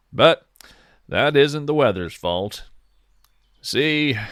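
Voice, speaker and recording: A man playing an earnest, wonder-struck naturalist, hosting a scripted fiction nature podcast in a gentle, folksy, lyrical manner. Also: on the low side, no echo, full character voice. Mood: folksy, easygoing. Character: earnest, wonder-struck male naturalist who seeks cryptids. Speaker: podcast host.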